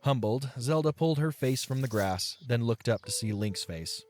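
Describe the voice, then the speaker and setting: A man's voice: medium pitch, expressive, normal speed, darkish tone, slight background noise. A man narrating scripted fiction as the storyteller of an audio play.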